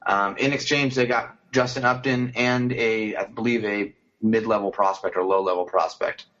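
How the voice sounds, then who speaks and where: A man's voice: lowish voice, steady tone, normal speed, a bit bright. A man co-hosting a casual sports podcast, talking loosely with many fillers and restarts. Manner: conversational, frequent fillers and restarts. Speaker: podcast co-host. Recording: dull lo-fi podcast audio.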